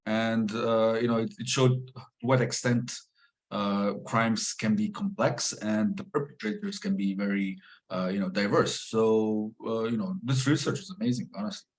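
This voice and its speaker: A man responding on an online panel, slow and hesitant, speaking softly. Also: lowish voice, fairly even intonation.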